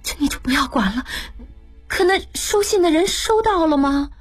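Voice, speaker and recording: Period audiobook maidservant: young, anxious, earnest, extremely high voice over light music. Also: lively intonation, moderate pace. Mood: fretful, scolding. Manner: fretful, scolding, plaintive.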